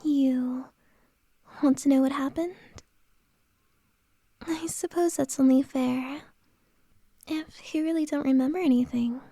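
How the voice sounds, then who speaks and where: A playful, flirtatious boss lady teases softly in a breathy whisper, as a woman acts her out in ASMR. Her voice sits high but mellow, drawn out slowly. It sounds dry and close to the mic. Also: steady tone, no echo. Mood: flirty. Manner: soft unvoiced whisper, teasing. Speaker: ASMR roleplay performer.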